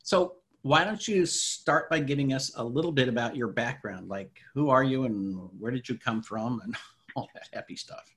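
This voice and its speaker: A man's voice: mid-range voice, moderate pace, a bit bright. A middle-aged man hosting a podcast, reading an intro and asking easygoing interview questions. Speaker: podcast host.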